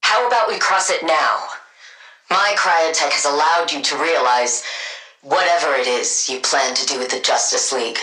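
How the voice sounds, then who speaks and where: A woman playing a cold, sardonic scientist villain in a video game, speaking in a dry, clipped deadpan. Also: deep voice, normal speed, reverberant, poor audio. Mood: measured, guarded. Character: cold, sardonic female genius scientist villain devoted to her wife.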